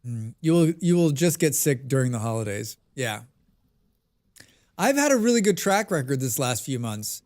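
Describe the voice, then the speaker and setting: A man's voice: mid-range voice, very animated, normal speed, a little mellow. An American man chatting casually with his viewers while playing a game on a live stream, reading and answering their comments. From United States.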